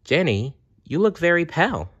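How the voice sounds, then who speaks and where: A man's voice: on the high side, sing-song, moderate pace, a bit bright, husky, lo-fi sound, pitched above the performer's own voice, heavily acted. A man voicing a concerned woman schoolteacher in a children's storybook, urgent and fussing. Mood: worried.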